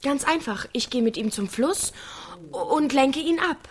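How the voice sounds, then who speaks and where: A woman's voice: sits high, expressive, unhurried, a little hiss or hum. A young woman playing a manipulative village teenage girl in a naturalistic radio drama, needy, coaxing and bent on revenge. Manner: insistent, coaxing.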